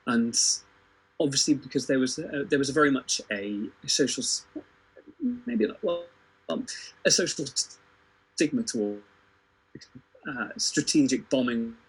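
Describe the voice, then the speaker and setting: A man discussing history informally, thinking aloud haltingly and repeating words as he goes. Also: medium pitch, lively intonation, breathy edge, low-quality recording. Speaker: podcast panellist.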